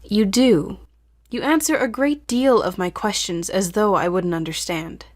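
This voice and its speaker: A woman acting a patient, probing therapist who speaks in a measured, formal way in an audio drama. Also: mid-range voice, close to an everyday voice.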